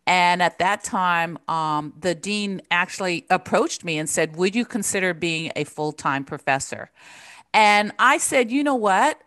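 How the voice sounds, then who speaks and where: Woman on a video call from her living room, first language japanese, lowish voice, dry close sound, earnest answers. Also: fairly bright tone, no echo. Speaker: professor, interview guest. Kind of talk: conversational interview answers. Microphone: video call with desk mic.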